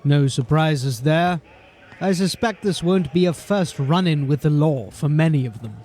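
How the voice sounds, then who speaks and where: A man voices a polished watch captain who talks in crisp, formal phrases. His voice sits a little high for a man. It is an audio drama performance. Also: unhurried, a little mellow, natural voice. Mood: composed, formal.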